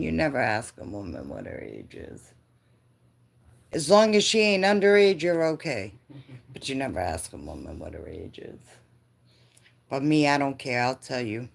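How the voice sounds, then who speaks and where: A woman in her fifties chatting with her live viewers. Her voice sits low and she takes her time, often laughing and answering the chat. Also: creaky. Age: 50s. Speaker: livestream host.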